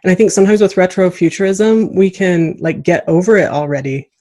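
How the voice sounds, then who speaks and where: A woman's voice: on the low side, a little fast, noisy background. A woman hosting a casual interview, chatting in an enthusiastic, affirming way. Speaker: podcast host.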